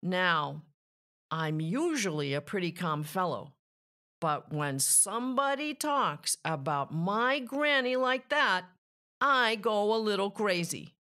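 A woman voicing a self-pitying, slyly innocent male wolf in a theatrical, deliberate children's storybook read-aloud. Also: medium pitch, animated, sharp tone. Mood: indignant.

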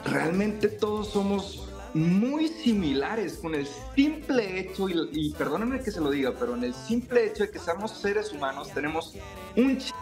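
A young man from Sonora, a singer, reflecting in a casual interview over a video call, halting often and restarting his sentences. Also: high voice, sing-song, music in the background. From Sonora, Mexico. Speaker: singer and songwriter, interview guest. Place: home room.

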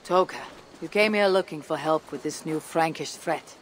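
An actress playing a stoic, hardened female Viking clan leader in a video game, terse and commanding, with a low husky voice. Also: moderate pace, slight background noise, close to an everyday voice. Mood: resolute. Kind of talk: video game cutscene acting.

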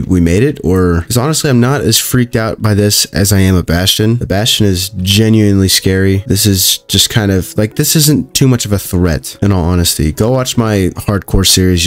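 A young man giving casual, joking commentary while playing a video game, with a calm voice and chatty asides to his viewers. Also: sits low. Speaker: gaming YouTuber.

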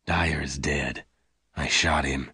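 A gruff, gentle gunman from a western storybook, acted out by a male reader. The voice is very deep and quiet, with a deliberate, solemn delivery. The sound is dry and close. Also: steady tone, no room sound, heavily acted. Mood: grim. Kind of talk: dramatised audiobook dialogue.

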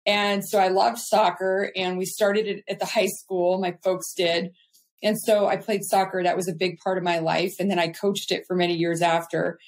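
A woman's voice: on the low side, flat delivery, normal speed. A middle-aged woman from California, a business guest, telling her life story in a chatty, upbeat way with fillers. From California, USA. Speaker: guest entrepreneur. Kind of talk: casual interview storytelling.

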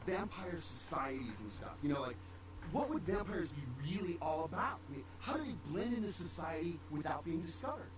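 An actor playing an eager, pretentious aspiring screenwriter in a video game, rambling self-importantly like a slacker. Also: male voice, fairly high pitch, big pitch swings, brisk, recorded from a distance, background noise. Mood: excited, boastful.

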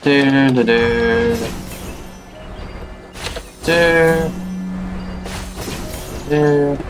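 A young man giving casual, unhurried gameplay commentary, musing to himself as he plays. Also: a little high. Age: young adult. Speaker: game streamer. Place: small room indoors at home. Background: game music.

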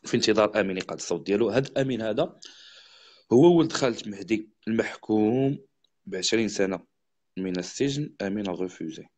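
A man with a Moroccan accent hosting a live stream, chatting quickly with viewers and a guest. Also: on the low side, animated. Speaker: livestream host. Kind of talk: casual live chat and storytelling.

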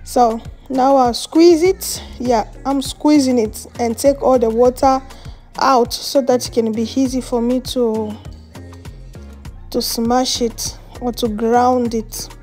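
A woman's voice: fairly high pitch, steady tone, slow, dark tone, faint music behind. Chatty woman speaking English as a second language. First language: French or Swahili. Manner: chatty, laughs often, searches for words. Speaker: cooking vlogger. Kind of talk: casual cooking vlog. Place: outdoors on a patio and in a home kitchen.

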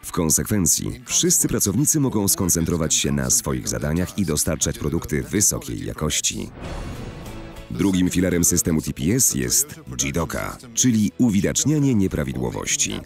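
Man, low-pitched voice, expressive intonation, formal scripted corporate narration, articulate, faint background music. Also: normal speed, creaky. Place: recording studio.

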